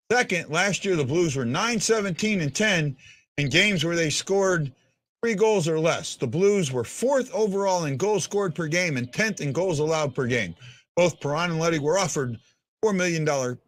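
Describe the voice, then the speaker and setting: A man's voice: on the high side, dry recording. A man in his fifties hosting a hockey fan show as a casual livestream chat, blunt and prone to repeating himself. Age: 50s. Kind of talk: casual livestream chat answering viewers' comments.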